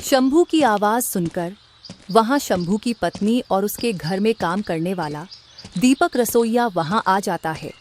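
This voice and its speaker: A woman's voice: mid-range voice, expressive, unhurried, darkish tone. A woman reading scripted narration for a cartoon story, with faint noise in the background.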